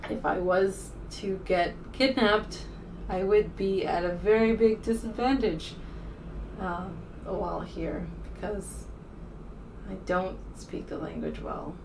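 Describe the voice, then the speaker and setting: A woman's voice: medium pitch, takes it slowly. A woman from the United States talking casually as a vlogger, rambling informally and hesitantly as she thinks aloud. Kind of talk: casual rambling vlog talk to camera. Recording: distant mic with faint room noise.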